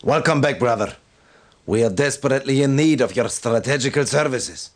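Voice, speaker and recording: A man plays a stern military commander briefing his officers in a video game. His mid-range male voice is solemn and devout. He speaks formally and deliberately, as one used to giving orders. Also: medium pitch, slight background noise, close to an everyday voice. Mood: firm, urgent.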